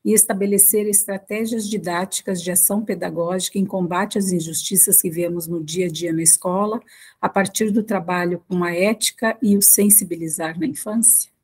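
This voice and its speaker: A woman, moderating a session, reading a question aloud with halting restarts. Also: on the low side, steady tone, darkish tone, breathy edge.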